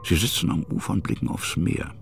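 A man with a gravelly, breathy voice, narrating a literary radio play in a musing, halting manner that sometimes sinks to a hush. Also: soft background music. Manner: musing, halting, hushed at times.

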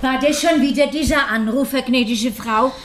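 An actress plays an older female housekeeper in a radio crime drama. Her voice is high, with a deferential, solicitous and fussy old-fashioned manner. There is faint ambience behind her. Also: moderate pace, a little hiss or hum, lightly acted. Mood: concerned, deferential.

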